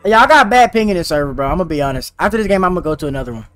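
A man's voice: sits high, animated, racing, fairly bright tone, clean tone, no room sound, soft background music. A teenage boy streaming a game, chatting casually and slangily with his viewers. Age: teens. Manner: slangy and laid-back, talking to chat. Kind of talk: casual live-stream chat while gaming.

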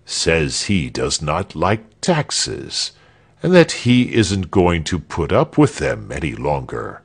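Storybook villain: a man performing a cold, pompous male governor, low and husky, sardonic. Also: wildly swooping pitch, slow, exaggerated voice. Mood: quietly menacing. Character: haughty, coldly menacing tyrant male governor.

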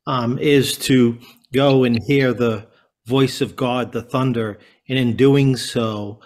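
Slow, measured man on a video call. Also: mid-range voice. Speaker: Bible study participant.

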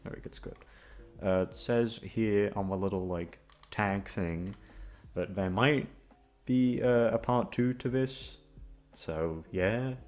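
A man's voice: fairly low pitch, slow, darkish tone, faint music behind. A young man chatting casually to his listeners, rambling and jokey, often tongue-tied and self-deprecating. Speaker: voice actor, channel creator. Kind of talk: casual outro chat.

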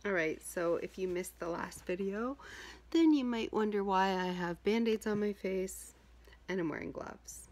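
A woman in her thirties with a husky voice, talking informally to camera and coaching in an emphatic, repetitive, conversational way. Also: medium pitch, measured pace, darkish tone. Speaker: health vlogger giving self-help advice. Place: small furnished room at home, indoors.

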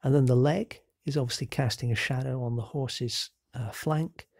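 Man, has a cold, gravelly, dry close sound, relaxed painting tutorial narration. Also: mid-range voice, normal speed, dry recording. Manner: relaxed, explanatory, with frequent ums and self-corrections. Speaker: painting instructor. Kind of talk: real-time painting demo narration.

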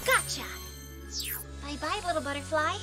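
An actress playing a plucky, confident teenage superheroine in an animated game. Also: female voice, high voice, normal speed, loud music behind the voice, lightly acted.

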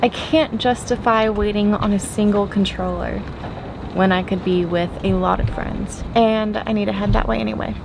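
A woman's voice: medium pitch, steady tone, normal speed, gravelly. A young woman in her twenties talking casually to a handheld camera on a sailboat deck, thinking aloud with fillers. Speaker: sailing vlogger. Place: outdoors on a sailboat deck at anchor. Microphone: camera held at arm's length. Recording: noisy outdoor wind and water.

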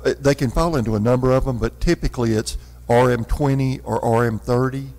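Man, city official, formal answers, medium pitch, gravelly, reverberant hall. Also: steady tone, a little fast, darkish tone. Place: council chamber, indoors.

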